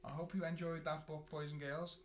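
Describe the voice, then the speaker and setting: Man reading a children's storybook in an echoey room. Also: fairly high pitch. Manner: animated, dramatic pauses and drawn-out words. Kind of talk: expressive picture-book storytelling for children. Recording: roomy echo, clear background noise.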